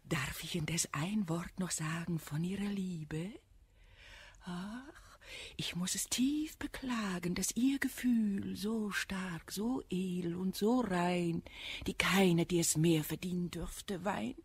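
An actress playing an effusive, confiding noblewoman who flatters and feigns sympathy in a classic verse radio comedy. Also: on the low side, takes it slowly, husky, heavily acted. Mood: pitying, insinuating.

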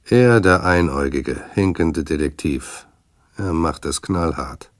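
An actor as a wry, hard-boiled storyteller, giving measured literary narration in a radio drama. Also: male voice, very deep voice, no echo, clean studio sound. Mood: wry, terse.

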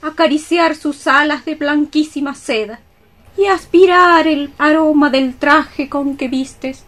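A woman's voice: high voice, slow pace, a bit bright. An actress playing a grey-haired, protective mother cockroach in radio theatre, declaiming with fiery melodrama. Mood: pitying, lyrical.

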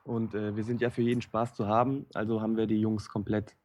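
A man, the show's technician, chatting casually with joking, quick asides. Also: on the low side, flat delivery, a little mellow. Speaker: technician co-guest. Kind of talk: casual chat.

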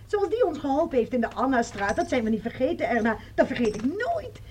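A woman's voice: high voice, big pitch swings, quick pace, a little mellow, a little hiss or hum. An actress playing a talkative, defensive woman friend, chatting on the phone in a radio play. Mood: chattering. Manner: chatty, defensive, self-justifying.

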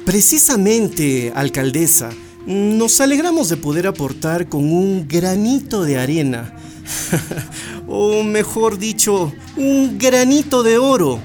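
A performer playing a scheming mining company manager in a radio drama, a wordy, flattering salesman. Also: medium pitch, takes it slowly. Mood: oily, boastful. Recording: music under the voice.

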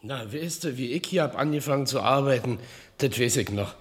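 Actor as a folksy caretaker, animated man. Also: medium pitch, a little fast, close to an everyday voice. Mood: chatty, instructing. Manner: colloquial, instructing, blunt. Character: gruff, folksy male caretaker, bossy but protective. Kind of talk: naturalistic German radio play acting.

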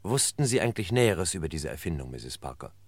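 A courteous investigator from a radio crime drama, with a low male voice and a very mobile intonation. He probes politely, hesitating as he thinks. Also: sing-song, fast talker, no room sound, full character voice. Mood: probing. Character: courteous, probing male investigator questioning a widow. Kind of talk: German radio crime play acting.

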